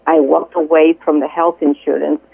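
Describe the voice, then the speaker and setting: A middle-aged woman from Greece with a Greek accent, a guest speaking earnestly and haltingly, with many fillers, over the phone. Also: deep voice, level tone, gravelly, slightly rough, no echo. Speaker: guest, Reiki healer. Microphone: telephone. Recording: tinny dry phone line.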